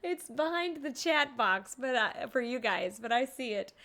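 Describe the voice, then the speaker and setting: A woman's voice: sits high, lively intonation, a little slow, fairly bright tone. A woman streaming a game and reacting to it in casual commentary.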